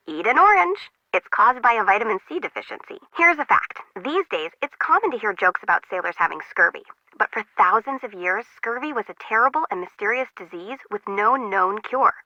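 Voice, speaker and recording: A woman's voice: medium pitch, bright, forceful tone, no echo, muffled recording. An actress playing a chatty, disorganized, slangy young female harbor worker in a video game, bubbly and wry.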